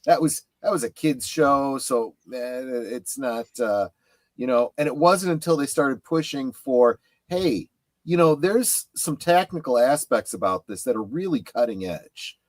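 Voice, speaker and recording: A middle-aged man chatting casually about collecting, explaining things in a halting way. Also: mid-range voice, expressive, no echo.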